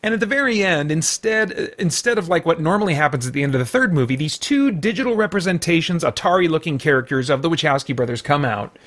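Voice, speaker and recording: An American man giving a casual, unscripted review as a show host, halting and rambling as he thinks aloud. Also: on the high side, expressive, a bit bright.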